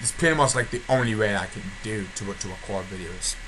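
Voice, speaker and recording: A man's voice: fairly low pitch, a little fast. A man giving a casual, rambling update for his video channel, often repeating himself and restarting sentences. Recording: noticeably noisy amateur home recording.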